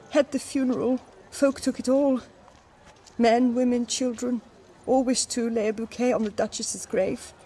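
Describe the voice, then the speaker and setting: Humble game flower seller, fairly high voice, wistful, plain-spoken, faint ambience behind. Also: female voice, slight background noise. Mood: resigned. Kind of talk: video game acting.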